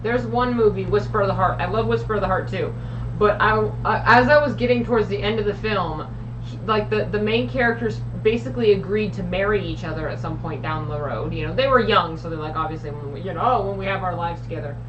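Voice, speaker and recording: A woman's voice: medium pitch, fast. A woman on a casual live stream, enthusing about films with rambling asides. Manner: enthusiastic film talk, trailing asides. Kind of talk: casual chat. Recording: distant lo-fi mic with clear hiss.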